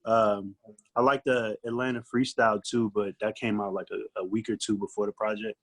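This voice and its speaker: A man's voice: fairly low pitch, flat delivery, moderate pace, a bit bright. A man with an American accent, a guest giving a casual album review in slang, thinking aloud as he goes. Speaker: podcast guest, recording artist.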